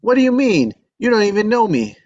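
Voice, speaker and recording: A man's voice: high voice, sing-song, fairly quick, a little mellow, no room sound, dull audio. A man reading the part of an earnest, determined young man in love, pleading his case. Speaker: table read actor. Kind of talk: live table read acting.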